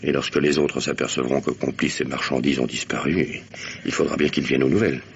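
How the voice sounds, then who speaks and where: An actor playing a curt, authoritative, impatient police commissioner in a radio mystery play. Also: male voice, extremely low pitch, steady tone, brisk, vocal fry. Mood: calm, watchful. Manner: curt, commanding. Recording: dull, noisy old radio drama recording.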